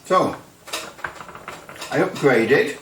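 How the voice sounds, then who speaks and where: A man's voice: a little high, very animated, drawn-out words, a bit bright, a little hiss or hum. A man giving a casual, rambling hands-on product review as a hobbyist, hesitating and repeating phrases for emphasis. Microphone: microphone some distance from the speaker. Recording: roomy echo, distant microphone.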